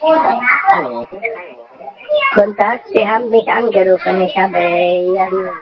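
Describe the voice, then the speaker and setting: Gravelly low woman on a dull phone line. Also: steady tone, slow pace, very noisy. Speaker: caller returning a missed call.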